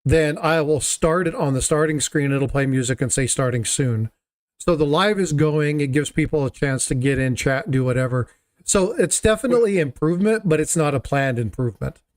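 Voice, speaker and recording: Casual man hosting a livestream, slightly high. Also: normal speed. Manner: rambling, self-deprecating. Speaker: livestream host. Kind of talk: casual chat.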